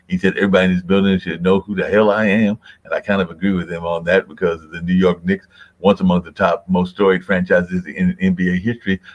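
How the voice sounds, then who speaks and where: A man's voice: sits low, lo-fi sound. An older man hosting a radio talk show, who asks long, rambling questions and keeps saying "and everything". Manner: rambling, conversational, repeats "and everything".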